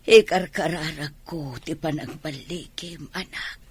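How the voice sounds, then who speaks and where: A woman playing a gentle, supportive middle-aged village mother in a radio drama, with a husky voice. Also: low voice, a little slow, a little hiss or hum. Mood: tender, supportive. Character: gentle, supportive village mother who saves for her child.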